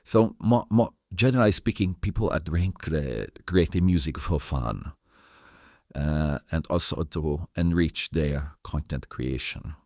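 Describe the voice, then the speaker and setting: A man with a non-native English accent hosting an interview chat, halting and stammering, often repeating words. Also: extremely low pitch, darkish tone, no echo, studio quality. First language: not English. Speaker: host.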